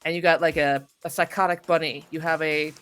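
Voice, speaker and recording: A woman chatting casually as an interview guest, excitable, effusive and expressive in the way she talks. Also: deep voice, fairly quick, soft background music. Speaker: voice actor guest being interviewed.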